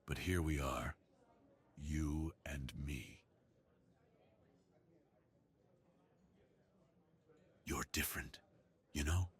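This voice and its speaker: A man voicing a big, gentle werewolf in an ASMR roleplay, speaking in an earnest, bashful, tender way. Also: rumbling low, slow, voice pushed down. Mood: pleased, tender. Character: big, muscular, gentle, bookish romantic male werewolf.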